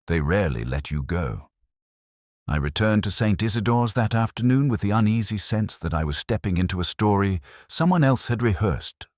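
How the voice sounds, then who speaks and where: A man playing a brooding, observant police detective, narrating his case in a measured, solemn, literary manner for a mystery drama. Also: sits low, dry recording, studio quality, exaggerated voice. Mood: pensive, uneasy. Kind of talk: scripted first-person mystery narration.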